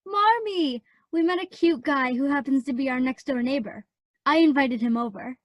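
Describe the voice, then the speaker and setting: A woman's voice: high-pitched, normal speed, no echo, highs cut off, lightly acted. A woman playing a sociable, forward young teenage girl in a radio play. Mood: perky, friendly.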